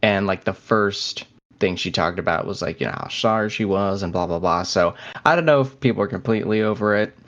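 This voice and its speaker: A man's voice: lowish voice, fairly quick, fairly bright tone. A man co-hosting a podcast in a casual, chatty style. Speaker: podcast co-host. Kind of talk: casual chat. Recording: dull, lo-fi audio.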